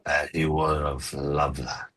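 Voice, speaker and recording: An actor playing a gentle, coaxing farm suitor, tender and a little forward, in a romcom table read. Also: male voice, rumbling low, little pitch movement, slow, full character voice.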